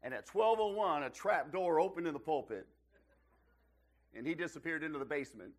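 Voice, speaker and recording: A middle-aged man, slightly hoarse from losing his voice, giving a sermon as a visiting preacher in a church, opening with self-deprecating jokes before building to emphatic rises. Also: on the high side, animated, a little fast. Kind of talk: sermon with folksy humor. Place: church auditorium.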